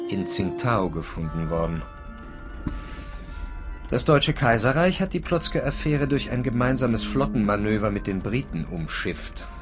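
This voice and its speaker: An actor playing a feverish, paranoid writer on an Edwardian sea voyage in a literary, brooding radio drama. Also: male voice, fairly low pitch. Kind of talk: German radio drama, monologue and dialogue. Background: music.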